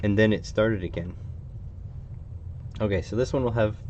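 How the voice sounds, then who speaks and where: A man's voice: lowish voice, moderate pace, darkish tone. A man with a Canadian accent chatting casually on a live stream, rambling and thinking aloud as he works on a hobby project. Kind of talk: casual live stream chat while working. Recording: dull, slightly lo-fi with faint noise.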